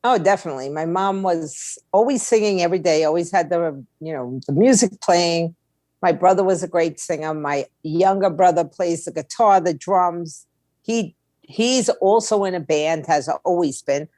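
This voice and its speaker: A woman's voice: fairly low pitch, moderate pace, dark tone, no room sound. Candid woman interview guest, dry sound. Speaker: interview guest, indie label owner. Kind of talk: casual candid interview chat.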